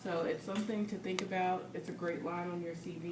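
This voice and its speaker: A young woman from North Carolina leading a student group meeting, steady and informative. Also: lowish voice, brisk. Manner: steady, informative, with filler ums. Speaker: student organization president. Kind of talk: meeting announcements. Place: classroom. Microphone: far camera. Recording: echoey classroom, distant mic, background noise.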